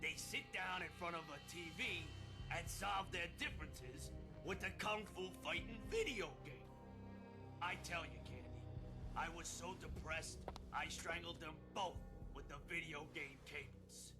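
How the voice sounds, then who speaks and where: A man's voice: a little high, a little slow. An actor playing a boastful, unhinged gangster hitman with storytelling swagger in a crime game drama. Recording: music behind the voice.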